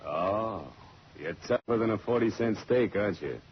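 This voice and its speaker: Haughty mean bandleader type, lowish voice, noisy old radio. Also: male voice, animated, moderate pace, muffled recording. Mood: haughty, menacing. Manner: haughty, sneering. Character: pompous, mocking, belligerent male jazz trumpeter. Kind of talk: 1940s radio drama acting.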